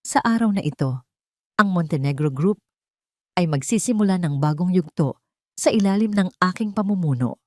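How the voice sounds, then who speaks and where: A woman's voice: fairly low pitch, lively intonation, normal speed, no room sound, clean studio sound, lightly acted. A woman with a Filipino accent voicing a power-hungry villainess, sweet but commanding, in an audio story. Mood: smug authority. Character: scheming, power-hungry villainess wife, smoothly authoritative.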